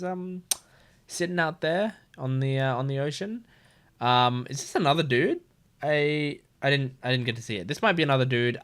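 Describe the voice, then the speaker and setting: A man's voice: fairly high pitch, sharp tone. A man hosting a reaction video with casual, playful, rambling commentary.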